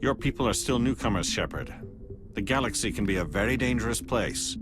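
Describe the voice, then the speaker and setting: An actor playing a composed, watchful alien agent in a video game, speaking in a measured, formal, probing way. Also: male voice, lowish voice, expressive, over music, close to an everyday voice. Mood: grave, measured. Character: composed, watchful alien Spectre agent, a male outsider evaluating humans. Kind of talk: video game cutscene acting.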